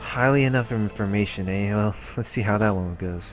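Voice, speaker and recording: A man's voice: on the low side, a little fast. A man hosting a let's play, reading visual novel text aloud with muttered, musing asides. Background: game background music.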